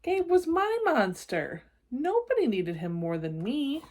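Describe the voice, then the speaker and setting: A woman's voice: high voice, sing-song, unhurried, darkish tone. A woman narrating a children's storybook expressively, breaking off to explain and check in with young listeners. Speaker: read-aloud storyteller for children.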